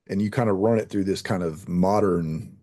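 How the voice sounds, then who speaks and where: A man's voice: sits low, normal speed, dark tone. A man hosting an interview in casual chat, rambling and hesitant as he circles toward each question. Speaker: podcast host, interviewer.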